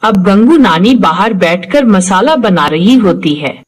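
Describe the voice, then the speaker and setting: Lively woman narrating horror stories. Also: mid-range voice, a little slow. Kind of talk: scripted horror story narration.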